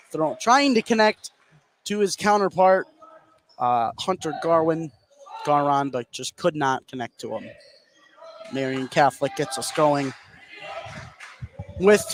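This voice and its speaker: A man calling a basketball game live as a play-by-play radio commentator. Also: on the high side. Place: indoor school gym. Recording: crowd noise behind the voice. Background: gym crowd.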